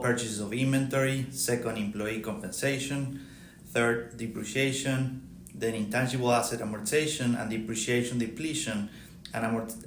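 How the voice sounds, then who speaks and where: Low-voiced Argentine man in an echoey room. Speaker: podcast guest, accounting firm partner. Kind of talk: prepared technical explanation. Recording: roomy echo, faint background noise.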